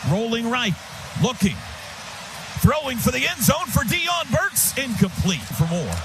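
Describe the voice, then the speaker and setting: A man doing live radio play-by-play of college football, calling action in short, urgent, excitable phrases that swell into shouts. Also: extremely high pitch, unhurried, noisy background.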